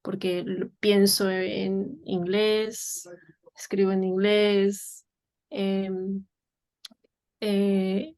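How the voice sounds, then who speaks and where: A woman from Peru speaks slowly in an easygoing interview, her voice sitting in a middle pitch. She often pauses, restarts her sentences and fills gaps with "em" and "eh" as she thinks aloud. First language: Spanish. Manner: hesitant, many fillers and restarts. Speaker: guest, English teacher for children. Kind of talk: casual interview conversation.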